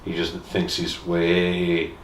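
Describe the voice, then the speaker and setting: A man's voice: low voice, monotone, slow, recorded from a distance, a little hiss or hum. A man co-hosting a fan discussion show with playful, teasing, theatrical banter. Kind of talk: casual joking banter. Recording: echoey room, off the mic.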